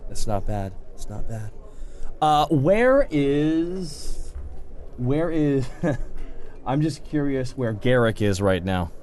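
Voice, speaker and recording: A man's voice: mid-range voice, sing-song, takes it slowly, long pauses, darkish tone. A man playing in a tabletop game podcast, chatting casually in a wry, joking way.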